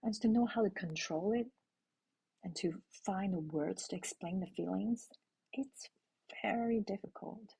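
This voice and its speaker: Low, gravelly, slow-spoken woman from Hong Kong. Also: low-quality recording. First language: Cantonese. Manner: calm, intimate, addresses listeners with questions. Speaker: parent sharing a personal story. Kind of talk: reflective spoken essay.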